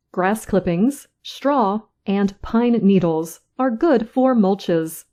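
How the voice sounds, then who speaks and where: Slow-reading woman news reader. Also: medium pitch, warm tone. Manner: slow, careful, clear delivery. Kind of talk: slow scripted reading for learners.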